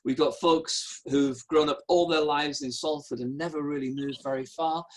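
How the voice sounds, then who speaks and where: A middle-aged man hosting a church interview over a video call from a book-lined study, speaking gently with a few hesitations. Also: on the high side, steady tone, normal speed. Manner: gentle, slightly hesitant with ums. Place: study with bookshelves, indoors. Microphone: webcam on a video call.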